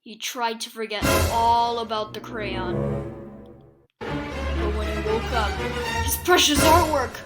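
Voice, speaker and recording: A woman reading a spooky children's picture book aloud in a theatrical, suspenseful way with deliberate pauses. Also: on the high side, over loud music. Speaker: children's storybook reader.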